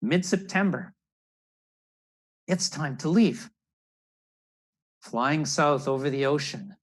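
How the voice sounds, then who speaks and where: A man's voice: fairly high pitch, lively intonation, slow pace. An older man reading a children's picture story aloud and explaining it as a naturalist, recorded indoors on a video call. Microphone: video call computer microphone.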